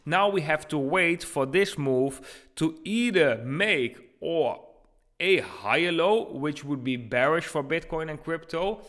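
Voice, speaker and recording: Higher-voiced man giving emphatic market commentary. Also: fairly bright tone. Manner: emphatic, repeats words for stress. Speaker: host of a crypto trading channel. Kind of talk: informal chart analysis commentary for viewers.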